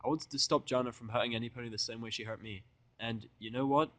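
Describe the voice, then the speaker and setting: Male story reader, mid-pitched, dry recording, first-person narration, irreverent casual asides, swearing. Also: steady tone, quick pace, a bit bright, no room sound. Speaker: fan fiction reader.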